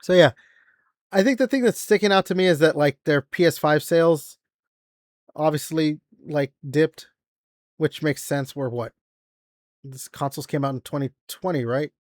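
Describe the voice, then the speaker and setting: A man's voice: fairly high pitch, moderate pace, pauses often, a little mellow, no echo, clean studio sound. A man in his forties hosting a casual gaming podcast, rambling with lots of fillers and the odd swear. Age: 40s. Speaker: podcast host. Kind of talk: casual gaming news chat.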